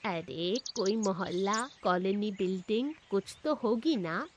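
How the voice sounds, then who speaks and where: A woman voicing a kind, motherly old street-cart cook in a cartoon moral story, speaking gently and affectionately. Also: mid-range voice, slow pace, dull audio, a little hiss or hum, close to an everyday voice. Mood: concerned, kindly. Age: older.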